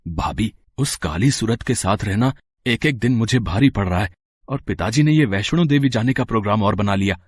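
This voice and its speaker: A man's voice: lowish voice, lively intonation, moderate pace, slightly rough, dry recording, clean studio sound. A man playing a sullen, resentful young brother-in-law in a cartoon family drama, with a grumbling delivery. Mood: resentful, plotting. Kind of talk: Hindi cartoon family melodrama acting.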